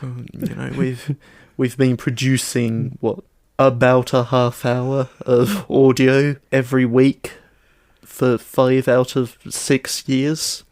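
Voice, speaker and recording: Slow, measured man in casual podcast chat. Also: medium pitch, level tone, darkish tone, no echo, clean studio sound. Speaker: podcast co-host.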